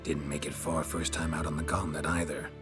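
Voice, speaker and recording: A man voicing a gruff middle-aged monster-hunter in a video game, with a North American accent, a gravelly rasp and a terse, deadpan delivery. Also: a bass voice, a little fast, big-room sound, music in the background. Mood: dry, reassuring. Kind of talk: video game cutscene acting.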